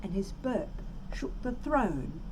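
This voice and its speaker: A woman reading a rhyming storybook aloud for children as an expressive storyteller. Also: medium pitch, slow pace, a little hiss or hum, low-quality recording.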